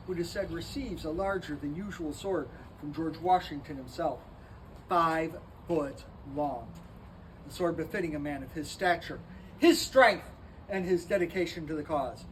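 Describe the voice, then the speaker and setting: A man with a high voice works as a history interpreter, animatedly drawing visitors into his story and asking them questions. He is heard from some distance away. Outdoor ambient noise runs underneath him. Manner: animated, inviting, asks the audience questions. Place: historic park.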